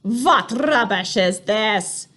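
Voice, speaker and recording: A woman voicing an imperious, furious female witch leader who shouts slow, booming commands. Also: close to an everyday voice. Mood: scornful.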